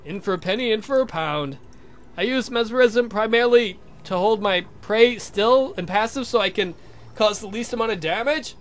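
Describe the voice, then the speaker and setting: A man's voice: high-pitched, moderate pace, natural voice. A man voicing a proud, touchy vampire count in a visual novel, with theatrical, wry delivery. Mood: frustrated.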